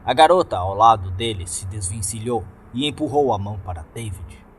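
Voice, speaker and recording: A man's voice: lowish voice, animated, moderate pace, a little hiss or hum. A man with a Brazilian accent reading a scripted horror story as a dramatic narrator. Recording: music bed behind the voice. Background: background music.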